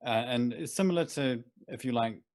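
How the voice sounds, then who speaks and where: A man from a visualization studio describing his team's workflow briskly on a panel. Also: mid-range voice, a little mellow. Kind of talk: casual video call panel.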